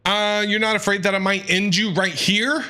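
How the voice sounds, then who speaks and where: A man's voice: high voice, fairly even intonation, moderate pace, pushed and bright. A man doing live reaction commentary on a game, talking back to the characters in a playfully dramatic way. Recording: game music behind.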